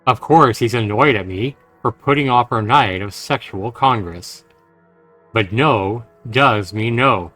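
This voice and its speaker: Guy streaming a visual novel, reads it out and chats earnestly, soft music behind. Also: mid-range voice, measured pace. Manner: earnest, talking directly to listeners. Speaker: livestream host playing a visual novel. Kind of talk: reading game text aloud with casual commentary. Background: faint game music.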